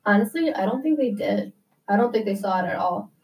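A young woman from the United States talks in a loose, casual interview way, full of "like" and little restarts. Her pitch sits in an ordinary middle range. She sounds slightly set back from the microphone. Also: lively intonation, fairly quick, far from the mic, rough recording. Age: young adult. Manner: chatty, many "like" fillers.

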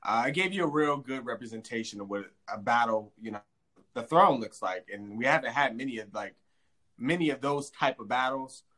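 A man hosting a podcast in casual chat, relaxed and chatty with quick laughs. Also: medium pitch, low-quality recording. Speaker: podcast host.

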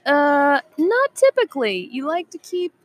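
A woman's voice: sits high, big pitch swings, unhurried. Woman podcast co-host, playful banter, recorded outdoors on a patio. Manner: playful, teasing, laughs often. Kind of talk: casual wine-tasting chat.

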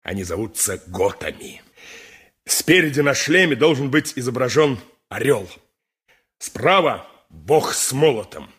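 Husky, boisterous armourer, swooping pitch. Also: male voice, lively intonation, a little slow, pauses often, a bit bright, exaggerated voice. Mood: boastful, jolly. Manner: exclamatory, chuckling, theatrical. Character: boastful, boisterous armourer courting a milliner. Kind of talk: 1980s radio play acting.

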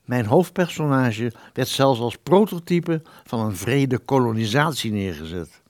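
A man's voice: medium pitch, expressive, unhurried, no echo, studio quality, lightly acted. Man acting a formal, wronged ghostly writer. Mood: wronged, earnest. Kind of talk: radio play acting.

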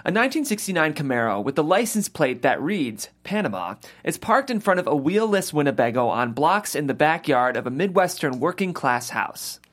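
A man's voice: a little high, very animated, normal speed, few pauses, fairly bright tone. A man hosting a podcast table read, talking casually and reading out script directions. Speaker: host, reader of stage directions.

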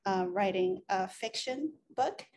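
A woman in her thirties from the Philippines, introducing herself casually in a measured way. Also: mid-range voice, lively intonation, a little mellow, a little hiss or hum. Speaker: podcast co-host, journalist.

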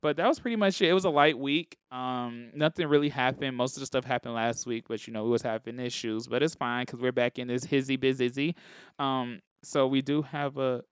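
A man with a clear, smooth voice talks casually as a podcast co-host. He argues his points by reaching for examples. The studio sound is dry and close. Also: medium pitch, steady tone, fairly quick, no echo. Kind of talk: casual pop culture chat.

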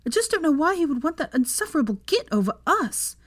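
A woman's voice: high-pitched, big pitch swings, quick pace, voice pushed up. A woman voicing a hurt, angry schoolgirl daughter in a story, plaintive and drawing out her words. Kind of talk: dramatised fan fiction reading.

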